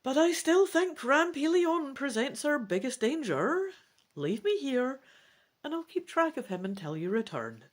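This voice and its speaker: A brave, protective heroine from a fantasy storybook, acted by a woman reader. She speaks earnestly, with lifted pitch and lively swings of emphasis. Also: unhurried. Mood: reluctant agreement. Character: brave, protective female adventurer in a fantasy tale.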